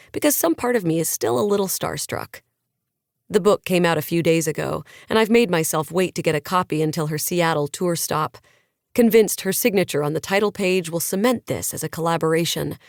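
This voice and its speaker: A woman's voice: on the low side, gravelly, darkish tone, no room sound, studio quality. A woman narrating a first-person novel as an audiobook in a wry, conversational storytelling manner.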